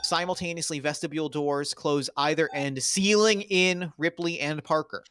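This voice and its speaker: A man's voice: fairly high pitch, normal speed, background noise. A man reading screenplay stage directions in a dramatic table read.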